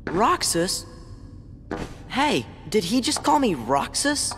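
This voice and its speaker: A man voicing a plucky, defiant teenage boy hero in a video game, earnest and forceful. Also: high voice, very animated, takes it slowly, full character voice. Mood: defiant, puzzled. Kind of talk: video game cutscene acting. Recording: long hall reverb with music behind.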